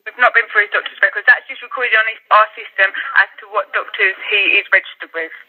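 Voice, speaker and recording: A man with a distinctly high voice works through a phone conversation as a social worker. He explains things procedurally, with halting phrasing. The line is dull and lo-fi. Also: steady tone.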